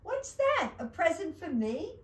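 A woman's voice: mid-range voice, slow, reverberant, natural voice. Woman playing jolly old Santa Claus. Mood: jolly surprise. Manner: hearty, amused.

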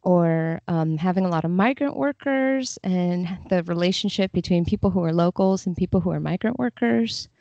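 A woman's voice: fairly low pitch, moderate pace, few pauses, dark tone, no room sound. A woman speaking as an interview guest, explaining things in a casual, conversational way. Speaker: podcast guest, literary translator. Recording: slightly dull, muffled audio.

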